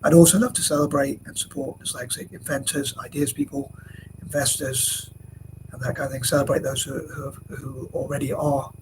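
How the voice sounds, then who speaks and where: A man's voice: mid-range voice, fairly even intonation, brisk. A young man with a cold, talking to camera in a rambling way with many fillers and restarts. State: has a cold. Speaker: vlogger. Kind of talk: casual talk to camera. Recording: noisy background hiss.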